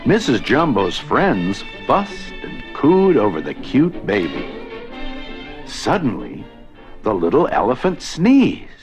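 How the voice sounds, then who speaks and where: A man narrating a children's read-along storybook in an expressive, deliberate storytelling manner. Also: mid-range voice. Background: music.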